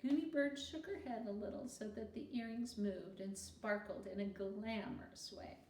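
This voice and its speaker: A woman narrating a children's book aloud in an expressive read-aloud style. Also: medium pitch, normal speed, far from the mic. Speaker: storybook reader. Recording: roomy echo with faint noise.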